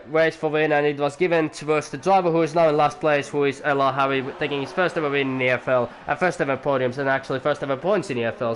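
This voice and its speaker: Young male league commentator, rambly and jokey, slightly high-pitched. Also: a little hiss or hum. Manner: rambling, chatty, jokey asides to viewers. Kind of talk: live sim-racing commentary.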